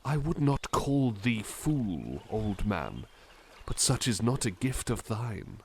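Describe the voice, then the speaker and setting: Genteel butler played by a man, unhurried ASMR delivery, creaky low-key voice, gentle and reflective, ambient noise behind. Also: sing-song, exaggerated voice. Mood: solemn, dramatic recital. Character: genteel, attentive male butler, gently enthusiastic about poetry. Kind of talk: soft-spoken ASMR roleplay with poetry readings.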